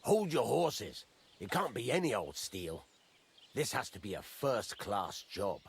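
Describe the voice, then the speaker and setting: An actor playing a gruff, fatherly middle-aged master blacksmith in a medieval video game, blunt and folksy, teasing as he talks. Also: lowish voice, big pitch swings, a little slow, slight background noise, heavily acted. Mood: stern, impatient. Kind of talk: video game dialogue acting.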